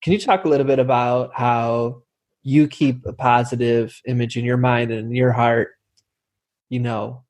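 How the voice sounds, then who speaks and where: A man's voice: mid-range voice, level tone, moderate pace, darkish tone. Rambling man hosting a casual interview. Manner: rambling, many fillers and restarts. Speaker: podcast host.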